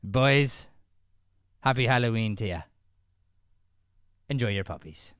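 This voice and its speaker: A man's voice: medium pitch, expressive, slow, a bit bright, smooth, dry recording, clean studio sound. A man playing a blustery, eccentric Irish father with emphatic, theatrical delivery in improvised radio comedy. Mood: firm.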